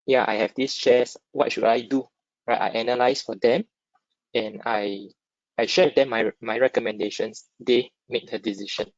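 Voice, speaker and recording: A man's voice: mid-range voice, fairly even intonation, normal speed, no room sound. Singaporean man presenting, muffled online audio. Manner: conversational, checks in with listeners using short tag questions. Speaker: webinar speaker, stock dealer. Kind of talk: informal interactive webinar presentation. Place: dry close online webinar. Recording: dull lo-fi online call audio.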